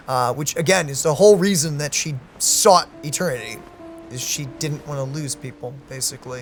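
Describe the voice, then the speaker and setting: A woman doing casual game commentary, reacting openly and emotionally as she plays. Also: deep voice. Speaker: gaming streamer. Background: game music.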